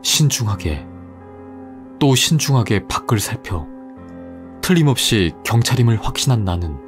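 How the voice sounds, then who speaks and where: A man narrating a scripted horror story in a measured, dramatic way, dropping to a hush at tense moments. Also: fairly low pitch, big pitch swings, soft background music.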